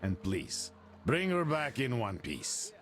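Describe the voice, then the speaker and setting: An actor playing an easygoing, wry guard in a post-apocalyptic video game. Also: male voice, medium pitch, wildly swooping pitch, slow pace, faint music behind. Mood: friendly briefing. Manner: wry, casual. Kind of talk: video game cutscene acting.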